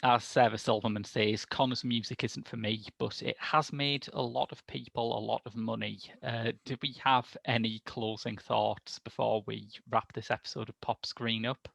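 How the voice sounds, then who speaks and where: A man's voice: mid-range voice, fairly even intonation, normal speed, vocal fry, hardly any pauses, a bit bright, no room sound. A man in his thirties hosting a film review podcast with a dry, wry, wordy delivery on a headset mic. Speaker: podcast host. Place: small domestic room, indoors.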